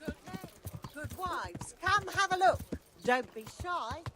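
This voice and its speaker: An actress playing a medieval meat seller in a video game, calling out coaxingly to passers-by. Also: female voice, extremely high pitch, lively intonation, slow, exaggerated voice. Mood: coaxing sales cry. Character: medieval female meat seller hawking her wares. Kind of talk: video game street cry. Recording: noisy street scene with horse sounds.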